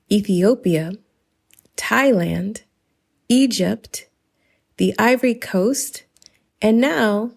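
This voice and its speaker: A woman's voice: medium pitch, warm tone. A woman hosting a podcast, talking informally and directly to her listeners through announcements and introductions. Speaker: podcast host.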